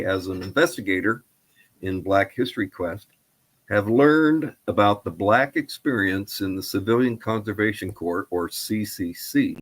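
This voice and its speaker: Lower-voiced man in his seventies. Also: low-quality recording. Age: 70s. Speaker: guest historian and presenter. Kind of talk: prepared presentation and interview answers.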